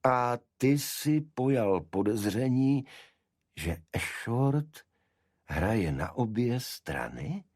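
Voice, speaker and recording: A man voicing a brisk, probing gentleman detective in an audiobook, husky and incisive in his questioning. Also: mid-range voice, very animated, no echo, clean studio sound, pitched above the performer's own voice.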